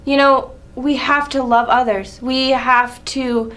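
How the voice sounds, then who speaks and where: Casual young woman, fairly high voice. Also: steady tone, slow, a bit bright. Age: young adult. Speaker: student presenter. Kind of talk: casual, informal presentation.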